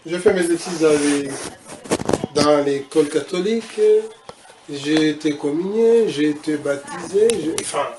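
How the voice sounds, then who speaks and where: A man's voice: on the high side, a little slow, background noise. A man from Congo, a guest recounting his past in the church, speaking hesitantly and restarting phrases. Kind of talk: personal testimony in conversation.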